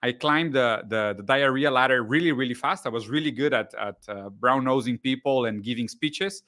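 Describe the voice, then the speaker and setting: A man from Brazil, a guest telling his story in a relaxed, chatty interview with many restarts. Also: mid-range voice, normal speed. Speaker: guest, content creator. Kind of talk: casual interview chat.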